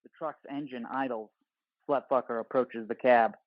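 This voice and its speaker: A man's voice: mid-range voice, steady tone, normal speed, no echo, lo-fi sound. A man reading scripted, terse action narration for an audio play.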